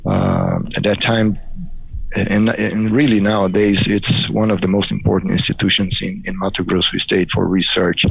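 Gravelly Brazilian-accented man on phone. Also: low-pitched, level tone, normal speed. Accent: Brazilian Portuguese. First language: Portuguese. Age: middle-aged. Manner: hesitant, many fillers and restarts. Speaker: guest, crop scientist. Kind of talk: interview answers. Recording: dry phone-like audio.

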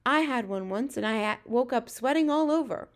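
Woman as a high-voiced curious storybook girl. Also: animated, moderate pace. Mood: doubtful. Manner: questioning, plain-spoken. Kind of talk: storybook reading.

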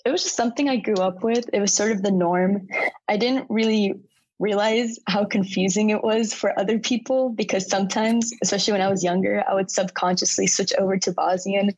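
Husky young American woman, chatty. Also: mid-range voice, fairly even intonation, a little fast, a little mellow, no echo, lo-fi sound. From United States. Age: young adult. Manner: chatty, laughs often between remarks. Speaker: interviewee. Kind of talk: casual conversational interview.

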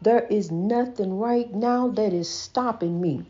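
Slow-talking woman with a stutter. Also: mid-range voice, dark tone. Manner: says she stutters; folksy, calls listener "honey", repeats herself. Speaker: host. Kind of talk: casual inspirational preaching monologue. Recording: dull lo-fi recording.

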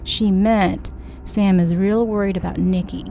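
A woman's voice: mid-range voice, fairly even intonation, a little slow, vocal fry, dark tone, a little hiss or hum. Woman reading as a careful, reassuring young woman.

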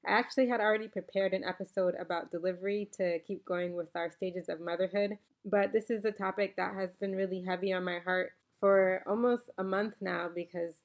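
A woman from the Bahamas hosting her own podcast from home, talking in an earnest, reflective, conversational way. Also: lowish voice. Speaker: podcast host. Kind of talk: casual solo podcast talk with a read-aloud quote. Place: home, recorded around napping children.

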